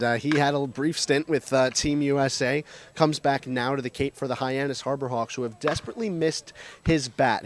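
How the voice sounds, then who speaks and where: A man giving conversational analysis as a co-host on a sports radio pregame show. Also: medium pitch.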